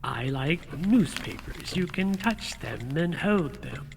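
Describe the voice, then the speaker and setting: A deep-pitched, sardonic husband from a radio comedy, acted by a woman. He is suspicious and exasperated, with very animated intonation. The sound rings as if in a hall, over faint noise. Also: slow, creaky, exaggerated voice. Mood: dry sarcasm. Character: grumpy, sarcastic, suspicious husband.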